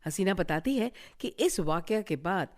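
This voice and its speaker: A woman's voice: fairly low pitch, lively intonation, measured pace, a little mellow. A woman narrator reading a scripted recap for a radio drama serial.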